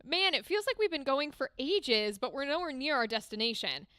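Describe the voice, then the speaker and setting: A woman reading the lines of an awkward young woman who is driving, in a flat, lower voice. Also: normal speed, dry recording, clean studio sound, heavily acted.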